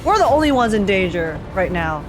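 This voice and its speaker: A woman's voice: mid-range voice, sing-song, fairly quick. A young woman streamer reacting live to a game, dramatic and slangy, often repeating herself.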